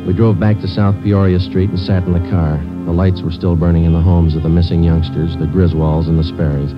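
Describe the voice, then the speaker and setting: An American man playing a stoic, businesslike police sergeant, narrating in a terse, deadpan 1950s radio drama style. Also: low voice, close to an everyday voice. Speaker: lead actor and narrator. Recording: muffled old radio broadcast, musical score.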